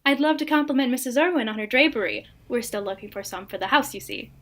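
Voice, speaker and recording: A woman's voice: a little high, expressive, quick pace, a bit bright. A woman playing a genteel, flirtatious 1950s housewife in an audio drama, gracious and coy, with leading questions.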